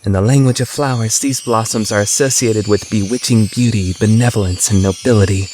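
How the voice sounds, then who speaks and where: A man's voice: on the low side, steady tone, a little fast, darkish tone, slight background noise, natural voice. A man voicing an awkward, earnest teenage schoolboy with a crush in an anime fandub, hesitant and easily flustered. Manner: flustered, hesitant, overthinking monologue. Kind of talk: anime fandub radio play.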